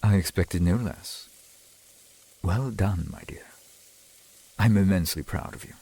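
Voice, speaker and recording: A man playing a courtly, adoring centuries-old vampire boyfriend in an ASMR roleplay, speaking in formal, old-fashioned phrases with a husky voice. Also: deep voice, a little slow, dark tone, a little hiss or hum, rough recording. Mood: tender, proud. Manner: formal, archaic, genteel phrasing.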